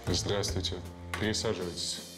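An actor playing a gloomy PE teacher who answers an investigator hesitantly and slowly. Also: male voice, fairly even intonation, lightly acted. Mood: reluctant. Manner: hesitant, slow, low-key answers. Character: reluctant, gloomy male PE teacher, guarded. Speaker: PE teacher witness. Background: background music.

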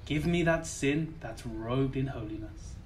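A man playing a lecherous old duke in a Jacobean verse audio drama, speaking with slow, theatrical relish. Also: mid-range voice, a little hiss or hum, close to an everyday voice. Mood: lecherous, savouring.

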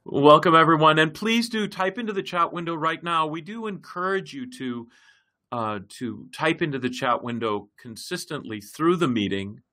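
A man hosting an online language-learning web meeting as facilitator, talking in a halting way with many fillers and restarts. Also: a little high, animated, pushed and bright. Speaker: project facilitator. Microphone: computer mic in a web meeting.

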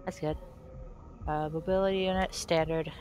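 A woman streaming a video game, chatting casually and reading on-screen text aloud haltingly while she loses her voice. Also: low-pitched, fairly even intonation, a little mellow, soft background music. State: losing her voice.

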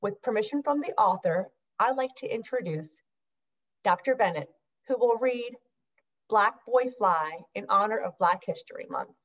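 A woman's voice: medium pitch, lively intonation, normal speed. A woman in her thirties speaking as a program host, in a scripted style, to camera on a video call. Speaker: program manager hosting an introduction. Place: small room indoors at home. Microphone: computer microphone on video call. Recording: muffled video call audio.

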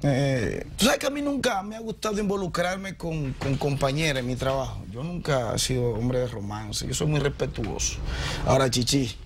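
A man's voice: medium pitch, animated, moderate pace, noisy background. A man hosting a morning TV gossip segment in a studio, playful and theatrical, teasing his co-host in a sing-song way. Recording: TV broadcast with music behind.

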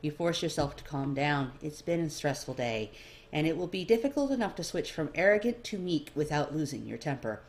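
A woman's voice: sits low, expressive, a little fast, slight background noise. A woman reading second-person fiction aloud as a narrator. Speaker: amateur fan fiction reader.

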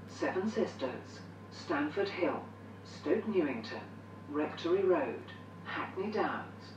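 A woman with a British accent reading formal, measured scripted train announcements with careful enunciation. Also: on the low side, far from the mic, highs cut off. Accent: British English. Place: inside trains, played over announcement speakers. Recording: noisy, echoey onboard speaker recording from afar.

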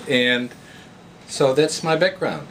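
A man's voice: mid-range voice, slow pace, rough recording. A man in his thirties from the Netherlands with a Dutch accent, a researcher informally introducing himself to camera in a laboratory. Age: 30s. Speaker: postdoctoral researcher. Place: indoors in a laboratory. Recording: clear steady room noise in lab.

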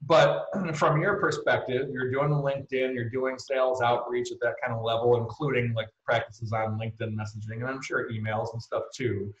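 A man's voice: mid-range voice, fairly even intonation, normal speed, hardly any pauses. Joking man host on an echoey call. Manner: loose, joking, irreverent. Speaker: podcast host. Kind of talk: casual podcast interview. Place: home room on video call. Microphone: video call. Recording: roomy, muffled video call audio.

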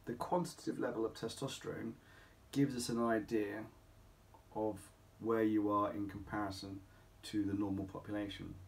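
A middle-aged man speaking as a clinic doctor, explaining to camera in an emphatic, deliberate way with rhetorical questions. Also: lowish voice, flat delivery, creaky. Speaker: doctor at a men's health clinic. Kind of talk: informal explainer talk to camera. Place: small home office or consulting room, indoors.

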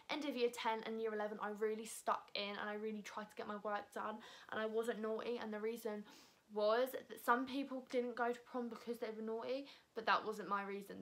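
A teenage British girl talks straight to the camera from her sofa at home. She speaks in a fairly high, chatty voice, full of "like" and "um". Her delivery is casual and animated. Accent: British English. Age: teens. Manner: chatty, animated hand gestures, frequent "like" and "um". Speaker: YouTuber. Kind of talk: casual vlog answering viewer assumptions. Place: living room, seated on a sofa indoors. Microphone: camera in front at close range.

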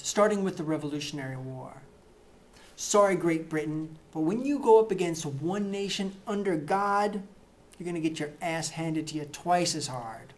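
A man's voice: fairly high pitch, a little hiss or hum. Man reading satire, mock-earnest and punchy. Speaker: reader of satirical book excerpts. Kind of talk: deadpan satirical reading to camera.

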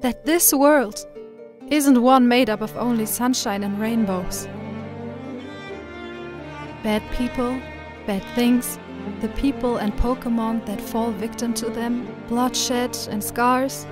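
An earnest, caring young girl trainer in an anime-style dub, played by a woman. Her voice is high and emotional, easily upset, with music clearly behind her. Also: fairly even intonation, slow pace. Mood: somber. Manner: emotional, pleading. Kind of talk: dramatic anime-style voice acting.

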